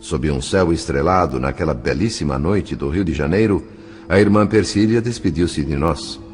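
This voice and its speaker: A man reading scripted narration for an audio novel in a formal, literary, measured manner. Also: rumbling low. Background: faint music.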